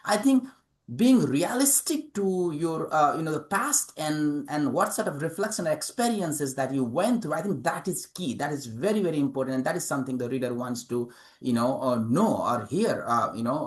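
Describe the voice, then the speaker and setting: A man's voice: mid-range voice, lively intonation, normal speed. Hesitant man with a Nepali accent. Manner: hesitant, many fillers and repeated words. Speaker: interviewed author and professor. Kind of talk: interview answers.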